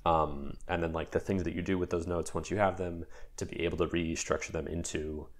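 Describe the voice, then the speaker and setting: A young man chatting casually, talking in a lively, rambling way with many fillers and restarts while getting over a mild cold. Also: sits low, fairly quick. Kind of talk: casual chat.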